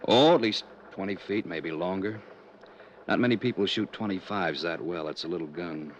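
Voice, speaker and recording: An old radio recording with faint noise carries a man playing a gruff American police lieutenant. The voice is very low, and the delivery blunt and businesslike, in 1950s crime drama style. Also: normal speed, dull audio, lightly acted. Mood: matter-of-fact. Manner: blunt, matter-of-fact.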